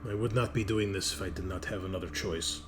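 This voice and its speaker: Man voicing a guarded, stubborn woman, quietly formal. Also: low-pitched, steady tone, quick pace, voice pushed down, natural voice. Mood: guarded, reproachful.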